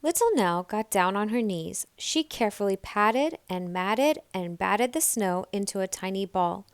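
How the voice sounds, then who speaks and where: Slow, clear woman reading a children's story. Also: medium pitch, dry recording, clean studio sound. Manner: deliberate, expressive storytelling. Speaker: storybook reader. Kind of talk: children's read-aloud, scripted narration.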